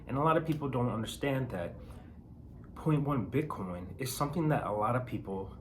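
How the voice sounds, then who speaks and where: A hoarse man with a raspy voice from a cold, chatting casually with his viewers and giving advice. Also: mid-range voice, expressive, a little fast, slight background noise. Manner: chatty, emphatic, frequent fillers and rhetorical questions. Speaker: bitcoin video host. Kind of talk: casual talking-to-camera advice.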